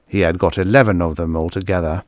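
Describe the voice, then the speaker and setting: A man's voice: low voice, fairly even intonation, quick pace, no echo. A man reading a scripted story as an audiobook narrator.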